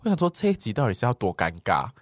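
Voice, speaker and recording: A man's voice: mid-range voice, wildly swooping pitch, racing, no room sound, clean studio sound. A man with a Taiwanese Mandarin accent in casual, joking conversation as a podcast co-host. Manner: joking, teasing banter with co-host. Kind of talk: casual chat.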